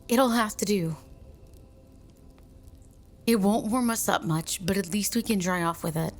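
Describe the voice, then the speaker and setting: A woman playing a bossy, irritable mean girl in an ASMR roleplay, with an exasperated, scolding and sarcastic way of talking. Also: medium pitch. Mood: scornful, impatient. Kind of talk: ASMR roleplay audio drama.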